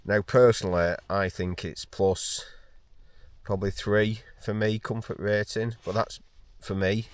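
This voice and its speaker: A man's voice: lowish voice, a little slow, clear, resonant tone, dry recording. A man chatting casually to camera while wild camping on a windy hill. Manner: relaxed, chatty, rambling with fillers. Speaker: hiking vlogger. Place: outdoors on a windy fell and inside a small tent. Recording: wind and outdoor noise.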